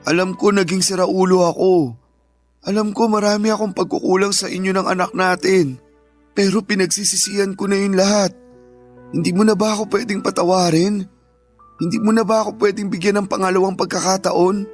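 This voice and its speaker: Actor as desperate remorseful ex-boyfriend, high male voice, whiny pleading, radio drama, faint music underneath. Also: fairly even intonation, fairly quick, natural voice. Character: remorseful, desperate ex-boyfriend begging for another chance. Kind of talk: Filipino radio drama acting.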